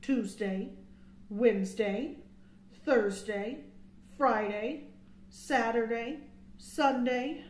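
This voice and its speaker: A middle-aged woman reading a picture book aloud to young children with expressive, dramatic emphasis and chatty asides, as a storytime host. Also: on the high side, very slow, pauses often. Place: small indoor room. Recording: roomy, echoing indoor sound.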